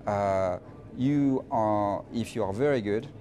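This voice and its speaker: A middle-aged man with a French accent and a low-pitched voice gives conversational interview answers. He is emphatic yet halting, circling back over his phrases. Clear background noise runs through the recording. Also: warm tone. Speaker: interviewee, finance professional.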